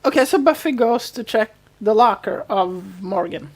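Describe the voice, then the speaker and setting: A woman's voice: mid-range voice, expressive, normal speed, a little mellow, breathy edge. A woman co-hosting a podcast in a casual recap chat, telling the story and acting out quotes as she goes. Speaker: podcast co-host.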